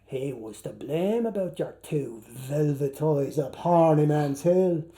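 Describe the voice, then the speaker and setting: A man voicing a coy young woman who gossips and weighs blame in a drawn-out, theatrical way. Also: fairly high pitch, animated, dark tone, pitched below the performer's own voice. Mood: coy, insinuating.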